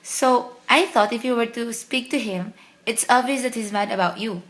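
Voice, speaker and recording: An actress plays a lovestruck young daughter in a radio drama. Her voice is fairly high, and she comes across as eager, naive and headstrong. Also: moderate pace, fairly bright tone, lightly acted. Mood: eager, pleading. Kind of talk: radio play acting.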